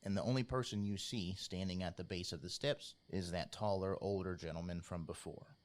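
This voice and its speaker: A man narrating a tabletop role-playing game as game master, in a measured, informal, descriptive storytelling style. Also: deep voice, steady tone. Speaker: game master, podcast host.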